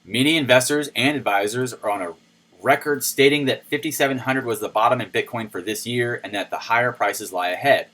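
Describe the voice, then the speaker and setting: A man with a low, mid-pitched voice talks through the day's news in a loose, chatty commentary, and he breaks into playful jokes now and then. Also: a little fast, a bit bright, rough recording. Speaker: crypto news video host. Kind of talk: casual commentary on news articles.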